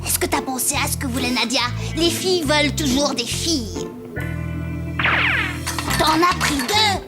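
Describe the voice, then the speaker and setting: A woman's voice: sits high, expressive, unhurried, over loud music. A woman voicing a cautious, doubtful schoolboy sidekick in a cartoon, questioning childishly. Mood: hesitant. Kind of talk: animated cartoon acting.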